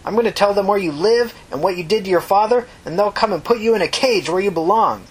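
A woman's voice: mid-range voice, quick pace, breathy edge, pitched above the performer's own voice, low-quality recording. A woman voicing a venomous, scornful woman who accuses emphatically in an audiobook story. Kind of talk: audiobook character dialogue.

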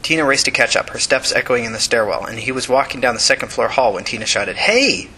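A man's voice: mid-range voice, steady tone, fast, bright, forceful tone, husky, rough recording. A man reading a scripted novel as narrator.

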